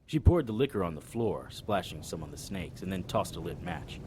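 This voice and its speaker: A man voicing a hard-boiled 1920s private eye, narrating in a laconic, deadpan noir style. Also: low-pitched, moderate pace, background noise. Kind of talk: first-person noir narration.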